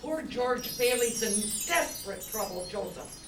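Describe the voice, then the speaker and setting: A woman's voice: a little high, animated, measured pace. A woman playing an eager, earnest apprentice angel in an amateur radio play. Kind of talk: amateur old-time radio play acting. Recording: echoey distant room, chimes and noise.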